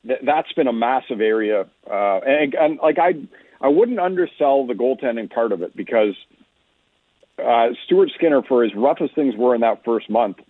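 Tinny phone-like radio line, male sports analyst, mid-pitched, measured explanatory delivery, conversational. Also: no room sound. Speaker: hockey analyst, guest.

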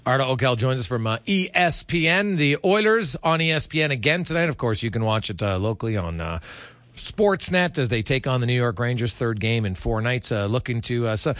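A man's voice: mid-range voice, lively intonation, brisk, fairly bright tone, no room sound. A man hosting a casual, conversational sports radio interview, freely offering his opinions.

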